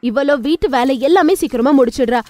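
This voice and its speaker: A woman's voice: high voice, quick pace, dark tone. A woman narrating a scripted cartoon moral story as its storyteller.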